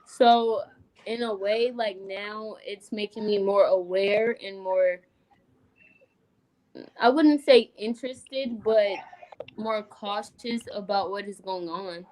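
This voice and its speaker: A young woman, a recent high school graduate, speaking hesitantly as a guest in a panel discussion. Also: takes it slowly, darkish tone, low-quality recording. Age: young adult. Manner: hesitant, many fillers. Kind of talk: casual discussion.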